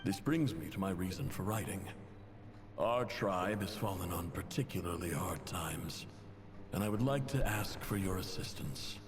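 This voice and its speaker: Proud desert chief, echoing, expressive. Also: male voice, lowish voice. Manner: formal, measured, courteous. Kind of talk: dramatic video game letter narration. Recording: long reverb, music behind.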